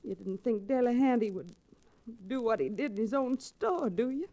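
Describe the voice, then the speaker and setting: Spirited, high-voiced ranch daughter. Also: female voice, animated, normal speed, rough recording. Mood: bitter, tense. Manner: sharp-tongued, dramatic. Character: bitter, spirited rancher's grieving young daughter. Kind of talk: old-time radio western acting. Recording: restored vintage radio drama recording.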